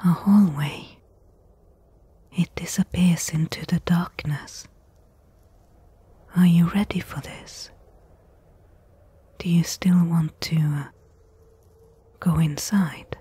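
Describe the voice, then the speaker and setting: A woman playing a cautious adventurer in a soft-spoken ASMR roleplay, breathy, hushed and hesitant, speaking intimately. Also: lowish voice, fairly even intonation, slow, long pauses, dry recording, exaggerated voice. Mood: nervous, gentle. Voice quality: breathy, whispery. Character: cautious female adventurer exploring an ancient desert temple.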